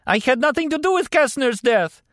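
Audiobook role: frantic injured foreigner, voice pushed very high, agonized and begging. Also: male voice, lively intonation, a little fast, a bit bright, no room sound, studio quality. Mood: agonized, pleading. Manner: strained, agonized pleading. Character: frantic wounded foreign man, pleading in agony.

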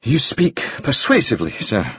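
A man voicing a reserved, courteous and weary gentleman in an audiobook, speaking quietly and formally. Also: mid-range voice, wildly swooping pitch, normal speed, slightly rough, dry recording, dull audio, exaggerated voice.

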